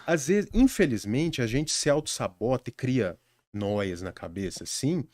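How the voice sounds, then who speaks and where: A man's voice: medium pitch, lively intonation, a little slow, a little mellow, no room sound, clean studio sound. A young adult man with a Brazilian accent, a podcast guest earnestly sharing study advice in casual conversation, with frequent restarts. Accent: Brazilian Portuguese.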